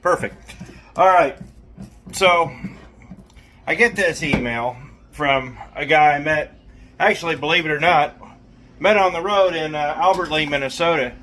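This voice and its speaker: A man's voice: a little high, unhurried, long pauses, sharp tone, slight background noise. A man hosting a hands-on repair video in a casual, folksy, jokey way with colloquial wording. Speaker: host of a CB radio repair video.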